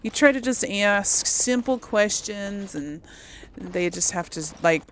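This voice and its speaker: A woman doing casual, chatty video game commentary with a creaky edge to her voice. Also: medium pitch, lively intonation, takes it slowly, fairly bright tone, slight background noise, poor audio. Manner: chatty, talks to the audience. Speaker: gaming channel host playing through a role-playing game. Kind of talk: casual gameplay commentary with in-character dialogue read-alongs.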